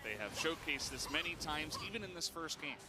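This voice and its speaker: A man's voice: fairly high pitch, lively intonation, a little fast. A man doing casual, joking live commentary on an esports match as one of the casters. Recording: music behind the voice. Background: music.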